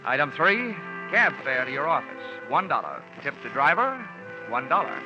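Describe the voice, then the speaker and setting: Sardonic insurance investigator, dry quips, old muffled radio sound, music behind. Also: male voice, a little high, sing-song, normal speed. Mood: wry. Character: wisecracking freelance insurance investigator man. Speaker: lead character and narrator. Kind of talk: 1940s radio acting.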